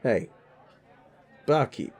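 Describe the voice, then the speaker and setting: A man's voice: very slow, darkish tone, a faint crowd. A man playing a cocky, sleazy werewolf drinking buddy in an audio roleplay, swearing, teasing and rambling at the listener. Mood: gruff, boastful.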